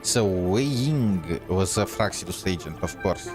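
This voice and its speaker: A man giving casual, halting gameplay commentary, musing aloud as he goes. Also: deep voice, animated, music in the background. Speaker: game streamer.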